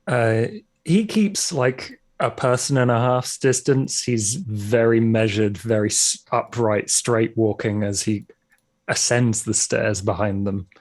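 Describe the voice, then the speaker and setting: A man playing a tabletop roleplaying game, casually describing his character's actions in a measured, halting way. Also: lowish voice, darkish tone.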